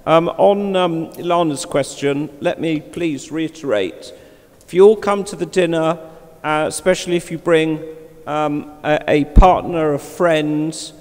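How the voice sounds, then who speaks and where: Male chair with a loud voice and a fairly high pitch, addressing a large hall with long reverb. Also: unhurried. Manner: loud, emphatic, appealing to the room. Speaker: meeting chair. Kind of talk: chairing a formal meeting.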